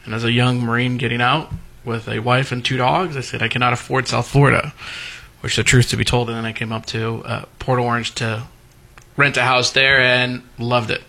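Man, 30s, born in Brazil; gravelly, bright, polite and plainspoken. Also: mid-range voice, level tone, moderate pace, a little hiss or hum. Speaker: guest entrepreneur. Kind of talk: casual radio interview. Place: radio studio.

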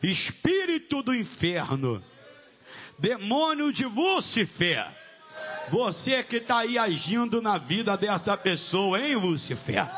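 A Brazilian man, a Pentecostal pastor, preaching a fiery deliverance prayer with forceful, repeated shouted commands in a dull church recording. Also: sits high, background noise.

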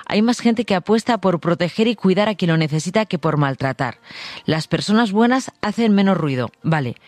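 Una mujer con acento castellano que presenta un programa de radio en tono conversacional, dirigiéndose directamente a los oyentes y recalcando palabras. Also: female voice, on the low side, expressive, fairly quick, a little mellow, dry recording. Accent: Castilian Spanish. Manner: addresses listeners directly, emphatic repetition. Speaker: radio show host. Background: background music.

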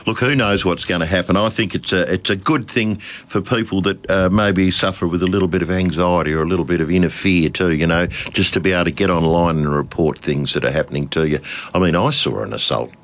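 A man's voice: low voice, fast. An older man with an Australian accent, chatting casually as a radio host with lots of fillers and restarts. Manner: chatty, rambling, many fillers.

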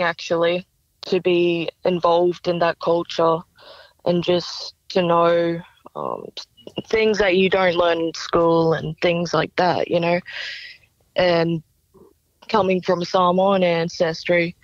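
A young woman answers interview questions slowly and hesitantly, with plenty of fillers. Her voice sits a little low. It comes through a dull remote line with little room sound. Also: dry recording. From Australia. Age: young adult. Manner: soft-spoken, hesitant, with many fillers. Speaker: singer-songwriter guest. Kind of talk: radio interview.

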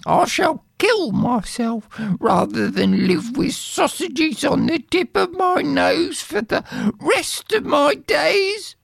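A woman's voice: expressive, takes it slowly, gravelly, no room sound, clean studio sound, exaggerated voice. A woman voicing a poor, fretful peasant wife who wails and moans melodramatically. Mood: wailing. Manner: shrill and melodramatic, moaning. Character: poor, wailing peasant wife, middle-aged, fretful and dramatic.

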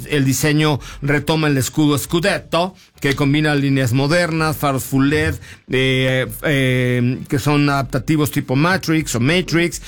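A man with a Mexican accent hosting a car show, chatting informally with slang and jokes as he reviews cars. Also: fairly high pitch. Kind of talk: casual car review chat.

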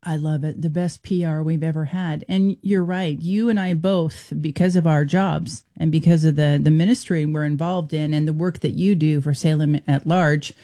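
A man hosting a radio show, lively and effusive as he talks with a guest. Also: on the high side, moderate pace, few pauses. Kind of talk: casual radio interview.

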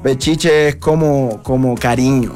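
A man with a coastal Colombian accent, easygoing and chatty. He talks at a middle pitch and laughs a lot while explaining slang. Soft music plays faintly in the background. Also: unhurried. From Colombian Caribbean coast. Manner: relaxed, laughs often, explains slang with examples. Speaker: guest musician. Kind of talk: casual radio interview chat.

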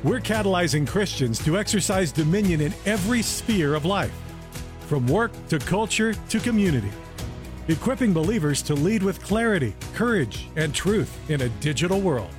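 A man's voice: high voice, expressive, normal speed. A man reading a scripted, persuasive advertisement as an announcer. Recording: clear music bed behind voice.

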